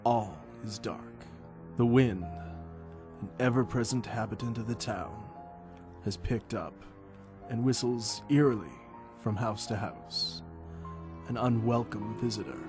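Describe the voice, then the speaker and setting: A man's voice: on the low side, takes it slowly. A man playing an ominous narrator in a scripted horror radio play. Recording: music under the voice.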